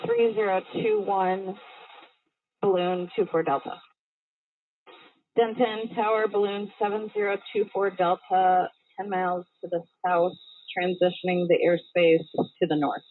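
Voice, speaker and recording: Woman, balloon pilot, slightly low voice, phone-like radio audio, clipped procedural aviation phraseology. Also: fairly even intonation, measured pace. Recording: tinny, phone-like band-limited radio audio.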